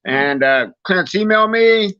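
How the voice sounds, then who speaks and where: A male coach talks casually as a guest, his voice bright and forceful and a little high for a man. The sound is dull and lo-fi. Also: expressive, normal speed. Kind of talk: casual introductory talk.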